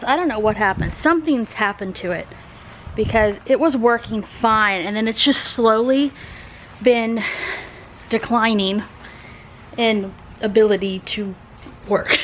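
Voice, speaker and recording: A woman's voice: a little high, fairly bright tone, slight background noise, low-quality recording. A woman vlogging as a mother at home, talking casually in rambling, conversational asides. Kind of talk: casual vlog chat.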